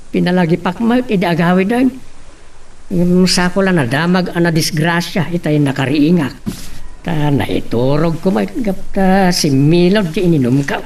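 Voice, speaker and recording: A woman's voice: lowish voice, moderate pace, a little mellow. A woman voicing a concerned, plain-spoken old father in an audio drama, with a Filipino accent. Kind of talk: Ilocano radio-style drama acting. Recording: distant mic, faint background noise.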